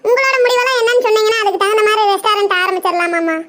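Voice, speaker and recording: A woman's voice: extremely high pitch, fairly even intonation, very fast, sharp tone. A woman voicing a polite, determined housewife and mother in a cartoon parody, speaking respectfully and reassuringly. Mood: earnest, respectful.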